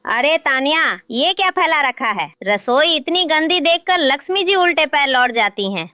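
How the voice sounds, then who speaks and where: A domineering mother-in-law, voiced by a woman, barks orders in a high, bright, sharp voice. The recording is dry and close. She scolds without pause in this cartoon tale. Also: animated, no room sound. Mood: scolding. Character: bossy middle-aged mother-in-law. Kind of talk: cartoon story dubbing.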